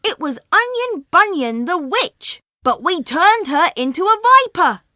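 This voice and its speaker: A man reading a storybook and voicing a young boy prince in a high, childlike voice. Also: big pitch swings, unhurried, pushed and bright, no room sound, studio quality, heavily acted. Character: young prince, small boy, frightened then brave.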